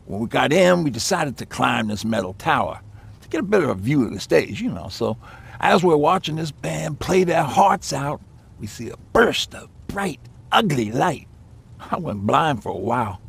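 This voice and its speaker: Game role: haunted yet easygoing older male survivor, husky and lively in pitch, chatty storyteller. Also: normal speed, heavily acted. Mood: wistful. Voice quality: gravelly, raspy. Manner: folksy, lighthearted, chatty. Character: folksy aging male survivor, lighthearted but haunted. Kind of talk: game voice acting, reminiscing dialogue.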